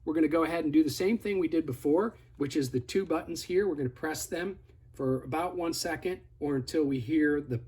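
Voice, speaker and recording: A man's voice: on the high side, brisk, darkish tone, breathy edge. A man giving a casual, hands-on product tutorial in a conversational, step-by-step instructive way. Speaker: product demonstrator.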